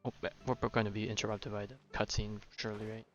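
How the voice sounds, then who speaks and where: A man's voice: on the low side, flat delivery, fast talker. A man livestreaming a game, giving casual, laid-back commentary and musing to himself. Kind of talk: casual play-along commentary. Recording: slightly dull sound, faint game music.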